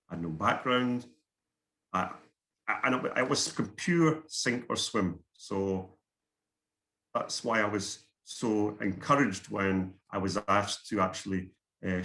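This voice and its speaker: A man's voice: on the low side, measured pace, pushed and bright. Man, Scottish accent, middle-aged, earnest, repeats words, video call. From Scotland. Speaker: guest speaker. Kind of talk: online workshop presentation.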